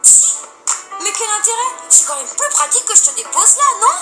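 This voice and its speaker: An actress voicing a playful, fun-loving young aunt in a cartoon, with a breezy, teasing way of talking. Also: female voice, very high voice, very animated, fairly quick, roomy sound, over music. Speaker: supporting character. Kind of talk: animated series dialogue.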